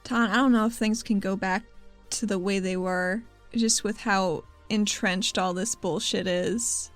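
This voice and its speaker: A woman's voice: mid-range voice, fairly even intonation, unhurried, close to an everyday voice. Tabletop RPG heroine, acted by a woman: resolute, pleading, everyday casual delivery over faint music. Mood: weary, hopeful. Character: determined woman rebel pleading to protect her city.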